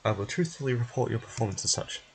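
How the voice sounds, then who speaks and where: A man's voice: medium pitch, expressive, fast, vocal fry, husky, rough recording. A man voicing a stern, meticulous research scientist in a game, speaking in a formal, blunt, exasperated way. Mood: formal approval.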